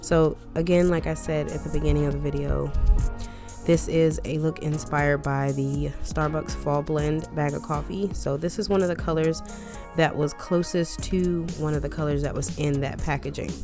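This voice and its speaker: A woman's voice: deep voice, steady tone, normal speed, over music. A woman hosting a casual makeup tutorial, chatty and rambling with asides, her voice a bit congested and scratchy from a cold. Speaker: beauty vlogger.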